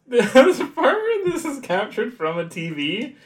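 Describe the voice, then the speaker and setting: A man's voice: very high voice, huge pitch swings, takes it slowly, crowd noise. A man co-hosting a podcast, chatting casually with dry jokes and frequent laughter. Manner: dry, joking, laughs often. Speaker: podcast co-host. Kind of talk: casual chat.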